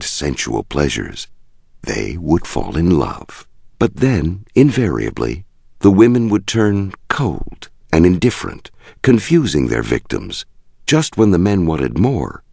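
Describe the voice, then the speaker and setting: A man with a gravelly voice reading a nonfiction audiobook in a measured, deliberate way with dramatic emphasis. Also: extremely low pitch, darkish tone, dry recording, studio quality. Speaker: audiobook narrator. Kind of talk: scripted nonfiction audiobook narration.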